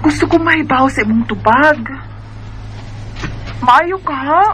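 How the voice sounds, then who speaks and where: A woman's voice: sits high, unhurried, a bit bright, slight background noise. An actress playing an earnest young woman in love in a radio drama, pleading and pressing for answers. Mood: troubled, affectionate. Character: earnest, insistent young woman in love with her best friend. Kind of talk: sentimental radio drama acting. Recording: background music under the voice.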